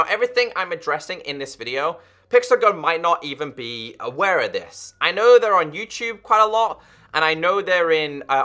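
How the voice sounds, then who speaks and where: This is a young man in his twenties making a gaming video, speaking straight to his viewers in an earnest, direct way. His voice sits a little high for a man and has a crisp, forceful edge. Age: 20s. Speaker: gaming YouTuber. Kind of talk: direct-to-camera commentary.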